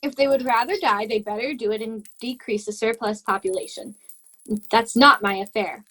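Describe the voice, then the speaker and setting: A high-voiced, miserly older woman boss played by a woman in an amateur radio play. She is cold and grumpy, snapping curtly and scornfully at everyone. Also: steady tone, moderate pace, bright, forceful tone, no echo, rough recording. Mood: cold, dismissive.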